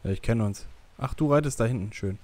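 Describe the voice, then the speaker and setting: A man casually commentating a co-op survival game with a friend, joking and bursting out loudly during fights. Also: mid-range voice, quick pace, a crowd in the background. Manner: jokey, excitable outbursts while fighting. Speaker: gameplay commentator. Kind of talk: casual co-op gameplay chat.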